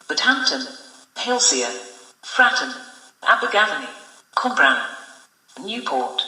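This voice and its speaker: A woman's voice: on the low side, bright, forceful tone. A woman making formal, scripted railway platform announcements in clipped phrases, played back from a distance with echo. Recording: echoey playback, distant from mic. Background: played back through computer speakers.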